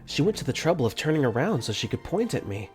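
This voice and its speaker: A young man voicing an earnest, caring dorm caretaker for a fan dub, gently narrating his own thoughts in first person. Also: medium pitch, big pitch swings, fast talker, lightly acted. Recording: faint music under the voice.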